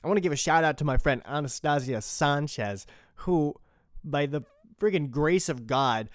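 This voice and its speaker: A man's voice: a little high, moderate pace, dry recording, studio quality. A man hosting a podcast, talking casually and off the cuff in a loose, rambling, informal way. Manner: informal, rambling, mildly profane. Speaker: podcast host and creator. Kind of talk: casual unscripted podcast talk.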